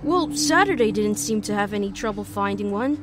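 A woman's voice: medium pitch, lively intonation, brisk, natural voice. A woman voicing an anxious, skeptical young boy hero with an exasperated manner in a cartoon fan dub. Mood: doubtful. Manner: exasperated, doubtful. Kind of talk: animated comic fan dub. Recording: music behind.

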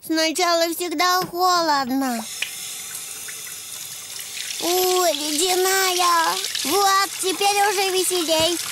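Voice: very high-pitched, steady tone, slow, long pauses, noisy background. An actor voicing an eager young donkey boy in a preschool cartoon, talking in simple, exclamatory, childlike phrases. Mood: chatty. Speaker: main character of a preschool cartoon. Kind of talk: Russian-dubbed preschool cartoon acting. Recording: music under the voice.

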